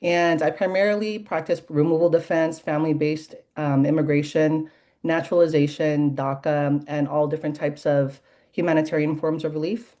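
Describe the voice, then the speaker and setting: Female immigration attorney, low and mellow, brisk and precise. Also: fairly even intonation. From USA. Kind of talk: self-introduction on radio.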